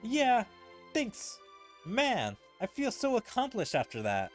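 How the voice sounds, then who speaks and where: A man puts on an eager young-hearted adventurer from a fantasy game. The voice is pushed up high and moves around a lot. It is chatty and full of exclamations, over faint music. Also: huge pitch swings, slow pace, heavily acted. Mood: pleased. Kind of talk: reading game story dialogue aloud.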